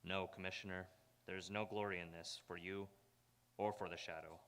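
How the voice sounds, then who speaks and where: A man's voice: low-pitched, level tone, normal speed, a bit bright, clean tone. A man playing a grave, ominous crime-fighting avenger, declaiming solemnly in a live radio drama. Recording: long hall reverb on voice.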